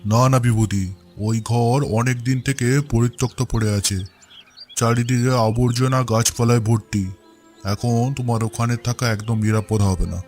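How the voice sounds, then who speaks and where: A man playing a paternal, righteous village landlord in a cartoon, expressive and admonishing. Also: mid-range voice, a little slow, faint music behind, close to an everyday voice. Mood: concerned. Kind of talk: cartoon voice acting.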